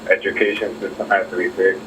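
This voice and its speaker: A man calling in to a talk show with his opinion, speaking haltingly with frequent pauses. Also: low voice, little pitch movement. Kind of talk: unscripted call-in opinion. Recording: distant call-in voice, clear background noise.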